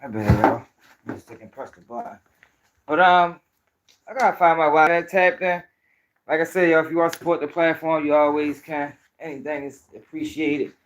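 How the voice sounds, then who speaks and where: A man's voice: fairly high pitch, normal speed, slight background noise. A man with a Baltimore accent hosting a casual livestream, talking to viewers in loose, profane street slang. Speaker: host of a commentary channel.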